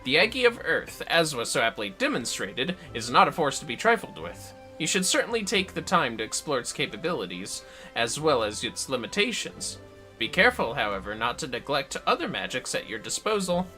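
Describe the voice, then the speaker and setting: A man's voice: medium pitch, sing-song, pushed and bright, faint music behind. A man voicing a courteous, earnest, scholarly summoner from a fantasy game, speaking formally and articulately. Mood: earnest, instructive. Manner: formal, articulate, measured wording.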